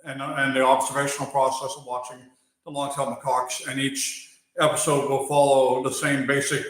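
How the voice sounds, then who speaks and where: A man from Germany with an American accent, hosting a live stream on his wildlife channel and talking unhurriedly with many pauses while he reads viewer chat. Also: medium pitch, flat delivery, fairly bright tone. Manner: unhurried, pausing with fillers, answering chat between thoughts. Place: outdoors or open area while working on a bike. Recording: very echoey space.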